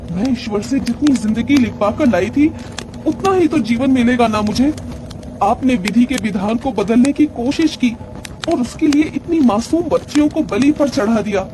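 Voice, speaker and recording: A woman's voice: fairly high pitch, background noise, lightly acted. A woman playing a sorrowful, earnest young village daughter speaking reproachfully in a horror audio drama.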